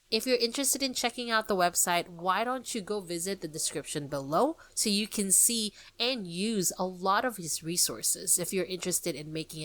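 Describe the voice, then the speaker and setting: A woman's voice: lowish voice, a bit bright. A woman hosting a podcast, talking informally about the history of a classic book's illustrations and speaking directly to her listeners. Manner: chatty, friendly, addresses listeners directly. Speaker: podcast host.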